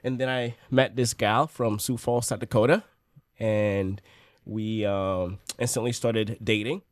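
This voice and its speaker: A man in his thirties from Haiti, a podcast guest telling his life story in a relaxed, joking way with many fillers. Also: lowish voice, measured pace, no room sound, clean studio sound. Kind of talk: casual storytelling.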